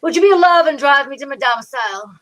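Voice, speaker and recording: A woman's voice: high-pitched, lively intonation, quick pace, a bit bright. A woman playing a fussy, queasy, melodramatic male sidekick with florid speech in old-time radio mystery style. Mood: queasy, dramatic. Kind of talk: 1940s radio comedy-mystery acting.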